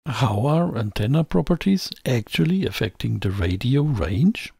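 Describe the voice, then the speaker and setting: A man reading scripted technical narration as a tutorial presenter, in a measured, explanatory way. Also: medium pitch, very animated, creaky, mellow, slightly rough, no echo, clean studio sound. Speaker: presenter of an electronics tutorial channel.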